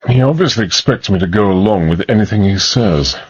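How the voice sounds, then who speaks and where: An actor playing a troubled, weary gentleman adventurer in a radio drama, in a brooding, understated way. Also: fairly low pitch, lively intonation, fairly quick, breathy edge, dull audio. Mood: wry.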